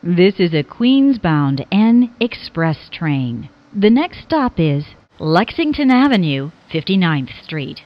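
A woman reading scripted subway announcements as a transit announcer, formally and in separate, clearly spoken phrases. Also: on the low side, sing-song, slow, hardly any pauses, dull audio. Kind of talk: scripted subway train announcement, pieced from clips.

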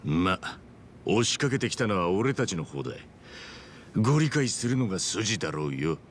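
A man voicing a brash, hot-blooded, good-humoured hero in a video game, talking in rough, punchy street slang. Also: on the low side, very animated, normal speed, a little hiss or hum. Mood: measured, wry. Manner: rough, informal, punchy street-tough phrasing. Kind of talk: dramatic video game voice acting.

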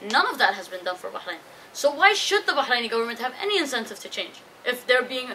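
A woman's voice: fairly high pitch, bright, forceful tone, slight background noise, poor audio. A young woman in her twenties speaking firmly and fluently as a panelist in a conference room. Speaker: activist panelist. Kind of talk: panel discussion remarks. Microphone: table mic.

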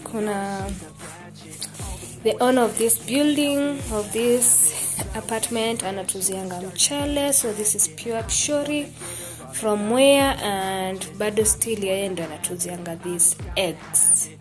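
Brief: young Kenyan woman, home vlogger, mid pitch, talkative and light, phone mic, music underneath. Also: slow pace. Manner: chatty, laughs often, switches languages. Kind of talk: casual vlog chat mixing Swahili and English. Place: small apartment room indoors. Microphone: phone camera at arm's length.

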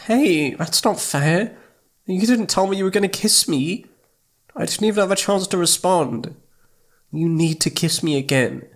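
A man's voice: high voice, expressive, measured pace. A man playing a needy, playful young boyfriend in intimate ASMR roleplay, pouty and sweet, stammering when flustered. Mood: needy, teasing.

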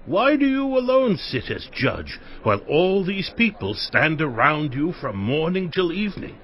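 A man voicing Jethro, a wise older priest giving measured counsel. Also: fairly high pitch, sing-song, lo-fi sound. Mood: advising.

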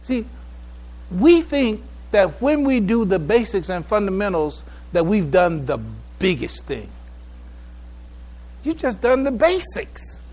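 A man giving a religious public address, halting and repeating phrases for emphasis. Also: high voice, sing-song, darkish tone. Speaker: speaker addressing a mosque congregation.

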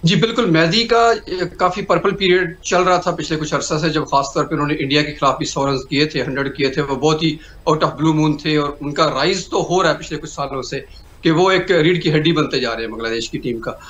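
A man giving cricket analysis over a video call, speaking in a measured way with hesitant fillers. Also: fairly high pitch, a bit bright. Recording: roomy video call audio with faint hum.

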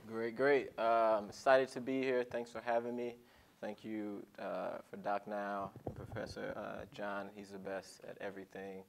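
A man's voice: fairly low pitch, flat delivery, unhurried, creaky, a little hiss or hum. A man in his twenties from St. Louis, giving a casual self-introduction on a panel in a large meeting room. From St. Louis, USA. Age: 20s. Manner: casual, thankful.

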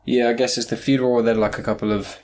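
A man's voice: medium pitch, level tone, rapid-fire, darkish tone. A man hosting a podcast, chatting casually about films in a joking, teasing way. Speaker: podcast host. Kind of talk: casual film review chat.

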